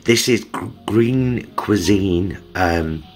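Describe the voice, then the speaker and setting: A man's voice: low-pitched, slow pace, faint music behind. A middle-aged man with a Northern English accent, chatting casually and affectionately as he hosts a homely food vlog. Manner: affectionate, homely, addresses viewers as loves. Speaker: vlog host.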